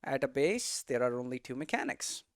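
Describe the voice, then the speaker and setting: A man's voice: mid-range voice, sing-song, moderate pace, no echo, studio quality. A man giving a casual, unscripted tutorial as a game developer, thinking aloud and often checking in with rhetorical questions. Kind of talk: casual, unscripted explanatory talk.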